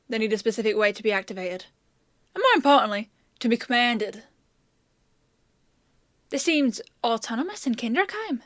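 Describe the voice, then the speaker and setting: Casting: a woman acting a fantasy apothecary mentor, highish voice, confident and talkative, fondly teasing, close dry sound for audio roleplay. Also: fairly bright tone, dry recording. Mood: explanatory. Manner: chatty, teasing, explanatory, addresses listener fondly. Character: chatty, confident, teasing young-hearted country apothecary woman, protective mentor. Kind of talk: one-sided roleplay acting addressed to the listener.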